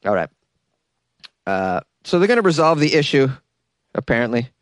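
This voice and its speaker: A man's voice: medium pitch, very animated, normal speed, no echo, clean studio sound. A man hosting a podcast, giving comedic commentary on a news story in a sarcastic, joking way with casual swearing. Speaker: podcast host.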